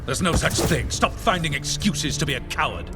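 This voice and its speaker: A man's voice: on the high side, lively intonation, normal speed, loud music behind the voice. A man playing a proud, stubborn military commander in a video game, commanding, scornful and emphatic. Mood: defiant. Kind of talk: dramatic video game acting.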